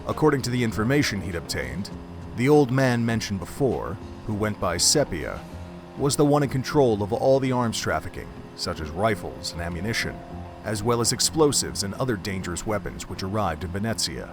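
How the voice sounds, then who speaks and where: Low-voiced male story narrator. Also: big pitch swings, moderate pace, over music.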